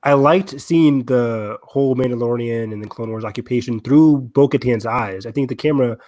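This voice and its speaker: A man's voice: mid-range voice, normal speed, darkish tone. A man co-hosting a fan podcast, talking in a casual, conversational way. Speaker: podcast co-host.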